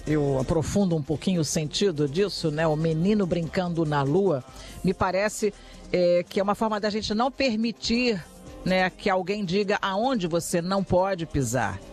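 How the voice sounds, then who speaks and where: A man's voice: sits high, normal speed, soft background music. A man hosting an interview, asking questions and adding engaged, affirming follow-ups.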